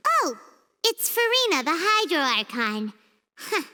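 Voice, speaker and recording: A woman's voice: very high-pitched, sing-song, measured pace, bright, forceful tone, heavily acted. A woman voicing a tiny, chatty female companion who talks about herself in the third person and stammers when flustered. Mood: curious. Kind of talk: video game cutscene dub. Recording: long reverb.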